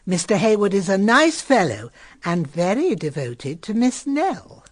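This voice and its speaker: An actress playing a soothing, optimistic older British lady in a period radio drama, gently reassuring and unhurried. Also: medium pitch, sing-song, no echo. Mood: fond, placid.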